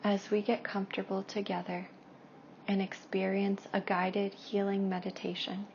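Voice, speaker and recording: A woman leading a guided meditation in a hushed, soothing way, with long pauses and soft, breathy phrase endings. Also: medium pitch, level tone. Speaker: meditation guide and Reiki practitioner. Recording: dull lo-fi with faint background noise.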